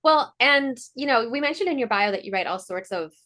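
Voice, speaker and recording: A woman's voice: fairly high pitch, lively intonation, brisk, bright, forceful tone. A woman hosting a podcast, asking thoughtful questions and giving short affirmations. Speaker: podcast host. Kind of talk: interview questions.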